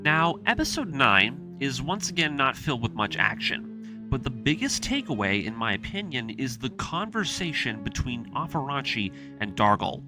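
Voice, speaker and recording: A man's voice: mid-range voice, sing-song, moderate pace. A man narrating a scripted story recap with personal commentary, in an emphatic, enthusiastic fan manner. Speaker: anime video essayist and host. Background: music.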